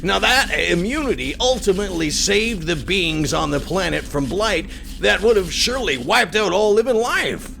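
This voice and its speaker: High-pitched, creaky nervous underling. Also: male voice, expressive, normal speed, hardly any pauses, pushed and bright, a little hiss or hum, exaggerated voice. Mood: earnest, nervous. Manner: stammering, over-explaining. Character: earnest, nervous male underling delivering a report. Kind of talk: audio drama acting.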